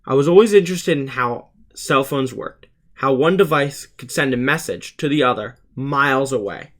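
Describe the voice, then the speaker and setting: A man's voice: mid-range voice, moderate pace, fairly bright tone. A teenage male student narrating a scripted explainer for his video channel, earnest and plainly presented. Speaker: student YouTuber presenting a school project.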